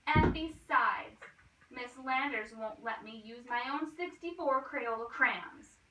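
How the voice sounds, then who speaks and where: A woman's voice: on the high side, slow, sharp tone. A woman voicing an earnest, stubborn little storybook boy with an emphatic, insistent delivery. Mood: indignant, insistent. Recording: roomy, distant microphone, faint noise.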